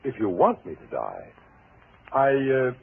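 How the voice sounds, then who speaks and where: An actor playing a bitter, obsessed, menacing husband in a theatrical, mock-polite style for a 1940s radio thriller. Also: male voice, on the low side, wildly swooping pitch, a little slow, gravelly, exaggerated voice. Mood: cool, calculating. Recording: tinny, hissy 1940s radio recording.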